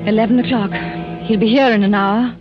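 An actress playing a frightened wife of a killer in 1940s radio drama, pleading breathlessly and dramatically. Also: female voice, on the high side, fairly even intonation, fairly quick, close to an everyday voice. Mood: anxious, confiding. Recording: muffled 1940s radio broadcast, music behind.

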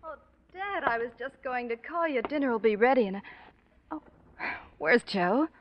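A woman plays a gentle young daughter in a 1940s radio drama. Her voice is fairly high, and she speaks with hesitant concern. The old recording sounds dull. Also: lively intonation, normal speed, background noise, natural voice. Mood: bright.

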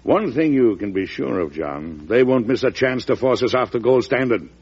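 Resolute President, husky, swinging oratorical delivery, classic radio drama on a muffled old recording. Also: male voice, mid-range voice, lively intonation, moderate pace, a little mellow. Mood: urgent. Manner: emphatic, oratorical. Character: resolute, worried U.S. President, weighty and principled.

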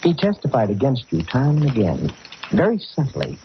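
A man's voice: medium pitch, huge pitch swings, moderate pace. An actor playing an earnest, insistent man warning of danger in a 1940s radio drama. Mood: urgent, confiding. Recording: muffled, noisy old radio recording.

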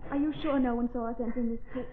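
A woman's voice: fairly high pitch, steady tone, rapid-fire, close to an everyday voice. An actress playing a sleuth's earnest, inquisitive female companion in an old radio mystery. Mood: curious, hushed. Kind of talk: 1940s radio acting. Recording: echoey, noisy, tinny old radio recording.

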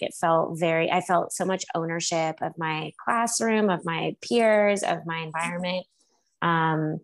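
A woman from Texas speaking as an interview guest, answering thoughtfully with many pauses and restarts. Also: lowish voice. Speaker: guest, high school teacher. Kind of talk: casual conversational interview.

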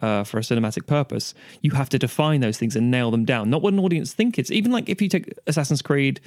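A man's voice: medium pitch, expressive, quick pace, a little mellow, dry recording, clean studio sound. A man in a casual podcast discussion, giving opinions emphatically and voicing imagined quotes as he argues. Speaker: podcast panelist.

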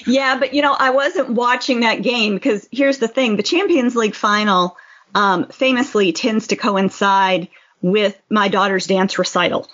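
A woman's voice: mid-range voice, normal speed, a bit bright. A woman co-hosting a podcast, chatting casually and conversationally with plenty of you-knows. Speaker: podcast co-host. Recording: dull lo-fi podcast audio.

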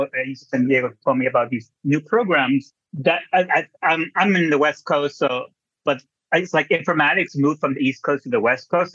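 A man's voice: medium pitch, sharp tone, breathy edge, no room sound, highs cut off. A man with a Chilean-tinged New Jersey accent, a physician guest talking in a chatty, self-deprecating way. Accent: Chilean-tinged New Jersey English. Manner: chatty, self-deprecating, many restarts and laughs. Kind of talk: casual podcast interview.

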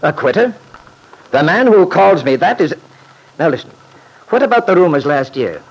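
An actor playing a proud, stubborn small-town lawyer in an old-time radio soap, blustering and indignant. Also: male voice, fairly high pitch, sing-song, normal speed, breathy edge, exaggerated voice, poor audio. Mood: indignant boastful.

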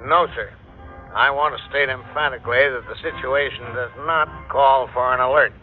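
A man's voice: mid-range voice, steady tone, moderate pace, soft background music, natural voice. A man playing a guarded, stern American general answering a reporter in a clipped official manner in a radio drama. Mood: guarded, firm. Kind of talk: classic radio drama, staged press interview. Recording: tinny old radio recording.

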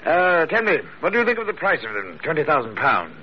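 An actor playing a suave, obsequious fat antique dealer in 1940s radio drama, formal, precise and unctuous. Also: male voice, a little high, big pitch swings, fast talker. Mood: smooth, scheming. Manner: formal, unctuous, precise, says "sir" constantly. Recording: muffled old radio recording, faint noise.

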